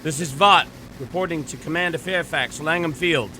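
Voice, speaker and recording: An actor playing an urgent army lieutenant reporting from battle in 1930s radio drama style. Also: male voice, a little high, moderate pace, pushed and bright, slight background noise, rough recording. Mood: grim, clipped. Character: frantic army artillery spotter, then grim bomber pilot lieutenant.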